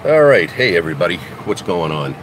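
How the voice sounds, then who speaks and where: An older man talks in a rambling, casual way, his voice a bit low and stuffed up from a cold. He speaks into a phone camera. A car engine runs loudly right behind him the whole time. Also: sing-song, brisk. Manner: rambling and chatty, with many "uh"s and restarts. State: congested from a head cold. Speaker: car maintenance livestream host. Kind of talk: casual livestream chat with viewers. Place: home garage or driveway beside a running car. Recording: loud engine noise behind.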